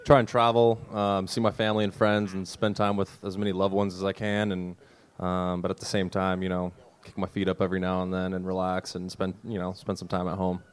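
Young man, low and clear. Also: monotone, fairly quick. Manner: easygoing, smiling delivery. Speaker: baseball player panelist.

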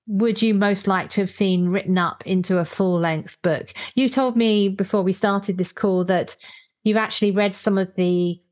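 A woman hosting a chatty book discussion in a playful, enthusiastic manner. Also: mid-range voice. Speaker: podcast host and interviewer. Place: remote call.

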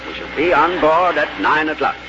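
An actor in a 1950s radio drama playing a precise, composed gentleman adventurer with a formal, decisive delivery. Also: fairly high pitch, sing-song, moderate pace. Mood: polite, resolute. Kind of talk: scripted first-person narration and 1950s radio drama acting. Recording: muffled old radio recording, loud music.

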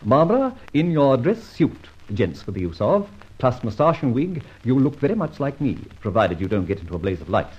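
A cocky gentleman thief. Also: mid-range voice, very animated, breathy edge. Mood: scheming, playful. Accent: Australian. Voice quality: brisk, theatrical, witty. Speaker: lead character. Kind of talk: 1950s radio drama acting. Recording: dull old radio recording, faint hiss.

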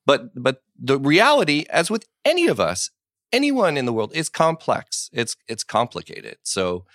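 A man's voice: medium pitch, huge pitch swings, moderate pace, fairly bright tone, dry recording, studio quality. A man guesting on a podcast as a journalist, fighting a cold and losing his voice, talking in a rambling, self-deprecating way. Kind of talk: casual podcast conversation.

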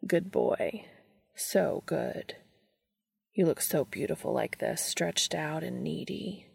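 A woman voicing a commanding, tender adult man in a romantic audio story, speaking low, slow and often in a whisper. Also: expressive, creaky, a little mellow, breathy edge, heavily acted. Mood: hushed, commanding.

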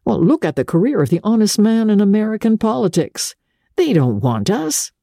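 A woman voicing a proud, well-off gentleman in a novel, lofty and evasive. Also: mid-range voice, very animated, normal speed, creaky, no echo, clean studio sound, pitched above the performer's own voice.

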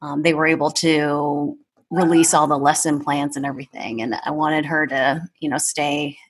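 Woman, thirties, low pitch, husky, measured, casual podcast guest. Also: level tone. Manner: measured, thoughtful, with fillers. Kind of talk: casual conversation.

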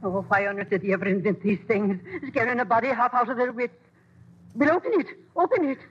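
A woman's voice: on the high side, fast, slight background noise, close to an everyday voice. An actress playing a flustered elderly grandmother in a 1940s radio play, fretting in old-fashioned exclamations, heard on a dull old broadcast. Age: older.

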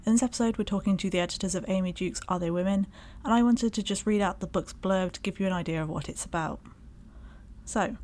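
Lower-voiced woman reading measured podcast introductions. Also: a little mellow. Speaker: podcast co-host, academic. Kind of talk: scripted podcast narration and introductions.

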